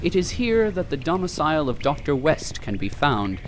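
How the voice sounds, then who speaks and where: A man's voice: on the high side, big pitch swings, normal speed, noisy background. A man delivering scripted, dramatic narration as the narrator of an audio drama. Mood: narrating.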